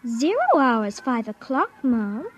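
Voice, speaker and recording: A woman's voice: on the high side, expressive, slow pace. A female performer playing a willful, secretive American little girl in an old radio drama. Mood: matter-of-fact, defiant.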